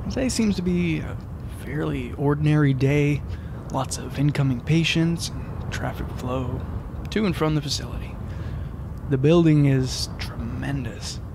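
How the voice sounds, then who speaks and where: A man's voice: medium pitch, a little slow, vocal fry, background noise. A man playing an earnest, easily flustered field journalist in a comedic audio drama. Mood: earnest reporting. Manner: stammering when addressing people. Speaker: reporter. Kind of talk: comedic audio drama, mock news report.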